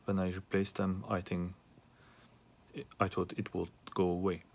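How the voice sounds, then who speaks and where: Halting, low-pitched man with a creaky voice. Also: monotone, a little mellow. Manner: halting, with frequent pauses and hesitations. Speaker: hobbyist repairer asking viewers for help. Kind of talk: unscripted troubleshooting demonstration. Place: small indoor workspace at a repair bench.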